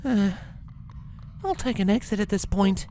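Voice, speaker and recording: A woman's voice: mid-range voice, faint music behind, close to an everyday voice. A woman voicing an exasperated, scheming young female unicorn pony who mutters to herself in a storybook reading. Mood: weary, exasperated. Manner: flustered, muttering to herself, drawn-out expressive delivery. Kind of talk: acted storybook dialogue in a fan fiction reading.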